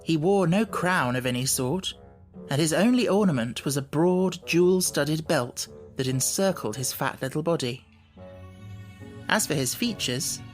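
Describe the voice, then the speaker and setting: A man reading scripted storybook narration as an audiobook narrator. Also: on the high side.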